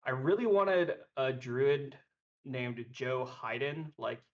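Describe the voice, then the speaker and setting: A man's voice: medium pitch, expressive, slow pace. Man chatting, slightly muffled lo-fi sound. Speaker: podcast guest. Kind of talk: casual joking chat.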